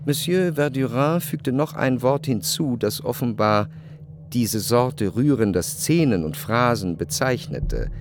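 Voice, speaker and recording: An actor playing a reflective, wry memoir narrator in a radio drama, with measured and deliberate delivery. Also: male voice, mid-range voice, animated. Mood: observant. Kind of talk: scripted literary narration.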